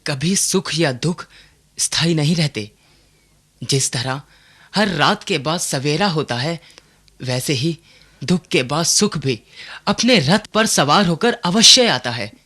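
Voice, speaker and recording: An actor playing a concerned, sensible young friend who questions and counsels in earnest, formal diction in a radio play. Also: male voice, fairly high pitch, lively intonation, takes it slowly, sharp tone, exaggerated voice. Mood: reassuring. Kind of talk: Hindi radio play acting.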